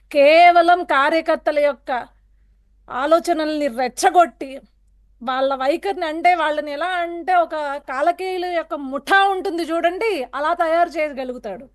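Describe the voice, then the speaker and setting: A woman with a very high, piercing pitch. She argues as a guest on a political TV panel. Her delivery is forceful and accusatory, full of rhetorical questions. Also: moderate pace. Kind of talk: heated television political debate.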